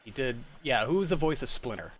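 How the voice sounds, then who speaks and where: A man's voice: mid-range voice, animated, a little fast. A man in his thirties hosting a casual group chat, talking in an informal, rambling way and often interrupting himself. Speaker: host.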